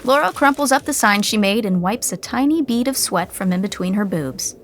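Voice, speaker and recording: A woman's voice: medium pitch, lively intonation, a little fast, slight background noise, lightly acted. A woman playing a gossipy narrator of a scripted sitcom podcast, telling it with wry, chatty asides. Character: wry, gossipy female storyteller commenting on characters.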